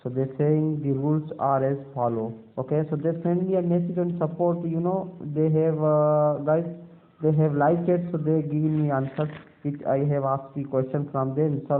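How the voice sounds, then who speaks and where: A man from Pakistan walking viewers through a screen-recorded tutorial, halting with many fillers and addressing them as guys. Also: fairly high pitch, level tone. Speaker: video creator. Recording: tinny phone-like sound.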